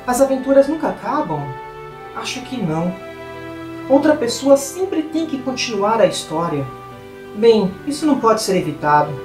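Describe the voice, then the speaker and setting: A man's voice: very high voice, reverberant. A man voicing an elderly, wistful hobbit who rambles reflectively in a storybook reading. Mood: sorrowful. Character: elderly male hobbit, wistful, chatty adventurer. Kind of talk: dramatized book reading. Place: small indoor room.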